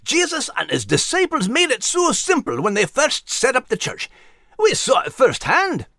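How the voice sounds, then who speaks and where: Agitated Scottish terrier, swooping voice. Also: male voice, very high-pitched, huge pitch swings, moderate pace, creaky, sharp tone, no echo, studio quality, voice pushed up, exaggerated voice. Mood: agitated, blunt. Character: Max, agitated, blunt, plain-spoken male Scottie dog. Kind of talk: audiobook storybook character voice.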